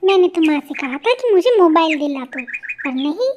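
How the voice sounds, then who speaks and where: A woman's voice: very high-pitched, sing-song, moderate pace, highs cut off, background noise. A woman voicing a lazy older sister bird, irritable and dismissive, in a cartoon story. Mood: annoyed.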